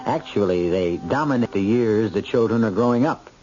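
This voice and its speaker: A man hosting and narrating an old-time radio drama in a measured, dramatic, literary style. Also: on the low side, music in the background. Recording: muffled old radio recording.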